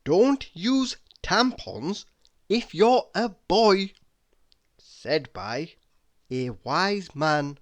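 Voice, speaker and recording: A person reading mock-inspirational quotes aloud in a deliberate, dramatic manner, pausing between phrases. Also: medium pitch, very animated. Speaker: reader of quotes.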